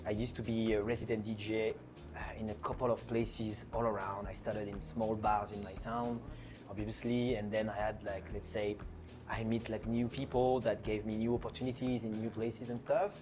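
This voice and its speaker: A man's voice: lowish voice, level tone, normal speed, music in the background. A man from France with a French accent, a DJ chatting casually in an interview, with lots of fillers and restarts. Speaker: DJ and producer guest.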